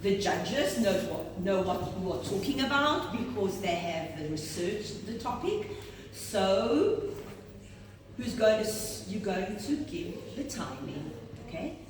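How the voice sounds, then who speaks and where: A woman's voice: medium pitch, sing-song, slow. A woman moderating a school debate, apologetic and correcting herself, recorded from a distance in a large school hall. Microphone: far room microphone. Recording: roomy hall audio from a distance with background noise.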